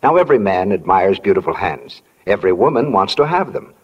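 A man working as a radio announcer, delivering polished 1940s-style introductions and sponsor pitches. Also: sing-song, fairly quick, breathy edge, highs cut off. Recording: 1940 radio broadcast, reverberant hall.

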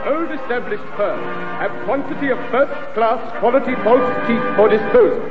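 A man's voice: extremely high pitch, moderate pace. An actor playing a man reading out a formal classified advertisement in a British sitcom. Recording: muffled, echoey, loud music over voice.

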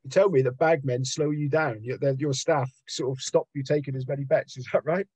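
A man interviewing casually, often halting and rephrasing his questions. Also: mid-range voice, steady tone, a little mellow, breathy edge, dry recording.

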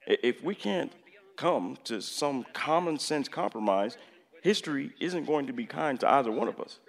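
An actor in a radio play voicing a measured, exasperated president who lectures in halting, emphatic phrases. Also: male voice, medium pitch, expressive, creaky. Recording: long hall-like reverb.

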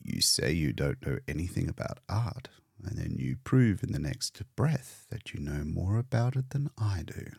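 A man's voice: deep voice, sing-song, normal speed, creaky, a little mellow, slightly rough, dry recording, studio quality, full character voice. A man voicing a sardonic painter in a storybook reading, with a wry, mock-polite, needling manner. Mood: mock delight. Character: sardonic, bleakly mocking male painter.

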